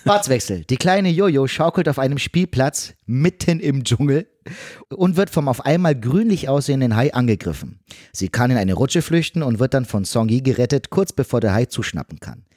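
A man's voice: mid-range voice, expressive, moderate pace, a little mellow, no room sound, studio quality. A man reading a scripted comedic film recap in a wry, sarcastic manner.

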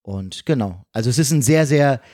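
A man's voice: mid-range voice, lively intonation, normal speed, mellow, no echo, clean studio sound. A man with a German accent speaking casually as a podcast guest and voice actor, explaining his work with emphatic repetitions. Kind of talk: casual conversational explanation of the craft.

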